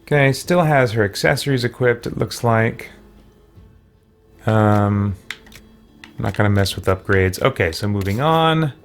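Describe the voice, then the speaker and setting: Halting man chatting over game music. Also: fairly low pitch. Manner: halting, thinking aloud. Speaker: livestream game player and host. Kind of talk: casual livestream chat while playing.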